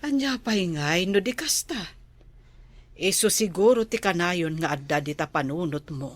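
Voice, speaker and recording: A woman with an Ilocano accent playing a concerned older mother gently fussing over her daughter in a radio drama. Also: on the low side, unhurried. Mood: reassuring.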